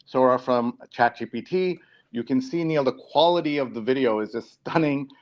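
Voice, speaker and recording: A man's voice: medium pitch, normal speed. A man from New York hosting a live talk show in a casual, conversational presenting style. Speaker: show host. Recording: dull, lo-fi online stream audio.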